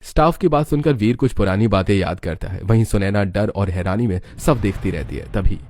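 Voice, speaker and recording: A male storyteller with a fairly low voice reads scripted narration. He describes scenes in the manner of a moral tale. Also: brisk, darkish tone, slight background noise.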